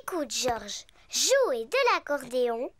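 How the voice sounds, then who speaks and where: A woman's voice: high voice, very animated, slow, a bit bright, heavily acted. A female performer voicing an eager, chirpy little girl piglet in a cartoon, childlike and enthusiastic.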